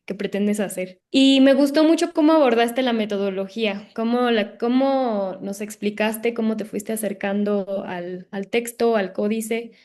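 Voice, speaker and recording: A woman's voice: fairly high pitch, a little mellow. A woman thanking a speaker and asking a question in an online talk. She is chatty and appreciative, with loose fillers. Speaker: audience member asking a question. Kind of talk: casual comment and question. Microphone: online video call.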